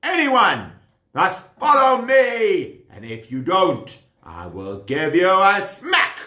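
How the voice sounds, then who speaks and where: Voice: medium pitch, huge pitch swings, slow, bright, forceful tone, roomy sound, full character voice. A storyteller voicing an angry, commanding young king in a children's story. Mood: furious. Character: furious brave young male king.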